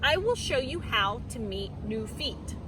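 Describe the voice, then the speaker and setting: A woman's voice: fairly high pitch, measured pace, recorded from a distance. Lady doing a big chill tomcat from a kids book, insistent, takes its time, noisy room. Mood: calm, insistent. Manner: calm, insistent, reassuring. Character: confident, encouraging big male street cat.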